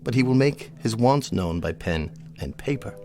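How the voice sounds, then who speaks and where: An actor playing a haughty, contemptuous old colonel in a radio drama, speaking in a formal, precise and disdainful way. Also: male voice, medium pitch, expressive, normal speed, mellow, soft background music, natural voice. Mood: formal, challenging.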